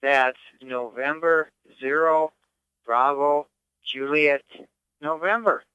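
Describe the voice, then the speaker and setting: Very clear, higher-pitched man. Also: slow pace, dry recording. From Minnesota, United States. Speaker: ham radio operator checking in. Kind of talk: procedural radio check-in. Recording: dry, slightly dull radio transmission.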